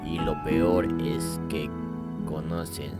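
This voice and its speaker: A man voicing a grave, grumbling cartoon schemer with drawn-out delivery. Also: very deep voice, level tone. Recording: loud music over the voice.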